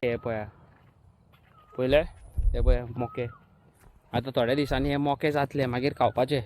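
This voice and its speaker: A man's voice: mid-range voice, fairly even intonation, normal speed, darkish tone, a little hiss or hum. A man from Goa with a Goan accent, vlogging casually to the camera. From Goa, India. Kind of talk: casual vlog chat to camera.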